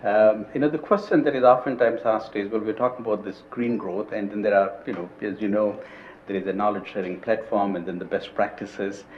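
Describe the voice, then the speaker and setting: A man's voice: on the low side, steady tone, a little fast. A man moderates a conference panel and politely hands the floor to the audience. The audio is somewhat dull, with faint noise behind him. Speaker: session moderator.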